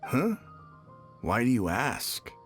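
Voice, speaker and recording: A man's voice: medium pitch, sing-song, slow. A man voicing a curious, cautiously forthcoming bystander in a video game, with a questioning, conversational delivery. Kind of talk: video game character dialogue. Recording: game audio under faint music.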